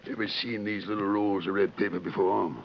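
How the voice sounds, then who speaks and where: An actor playing a gruff, bullying crooked sheriff with an American accent in a 1940s radio Western. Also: male voice, fairly low pitch, steady tone, a little fast, a little hiss or hum. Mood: accusing. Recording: dull 1940s radio recording.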